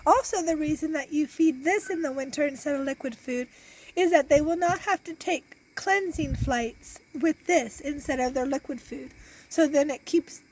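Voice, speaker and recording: Woman, 40s, losing her voice; high, chatty homesteader explaining a recipe outdoors into a close phone camera. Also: a little mellow, slight background noise, rough recording. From Iowa, US. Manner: chatty, explains step by step with many restarts. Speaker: homesteader and beekeeper. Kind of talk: casual how-to cooking demonstration. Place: outdoor back deck in a farm yard. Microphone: phone camera held close.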